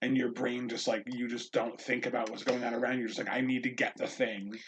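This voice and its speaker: A man's voice: mid-range voice, little pitch movement, brisk, slight background noise. A young man co-hosting a podcast in casual chat, rambling and filling his talk with "like". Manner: rambling, heavy use of "like", many restarts. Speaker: podcast co-host.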